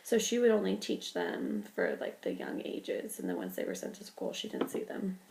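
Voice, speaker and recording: Creaky-voiced young woman with a cold. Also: lowish voice, wildly swooping pitch, normal speed, darkish tone, slight background noise. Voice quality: gravelly creak. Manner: informal, with many ums and likes. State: congested with a cold. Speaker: student presenter. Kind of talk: class presentation.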